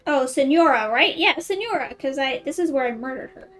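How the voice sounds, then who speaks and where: High-voiced woman gamer, playful. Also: lively intonation, brisk, fairly bright tone, soft background music. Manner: playful, slangy. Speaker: gaming streamer. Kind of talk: casual gameplay commentary.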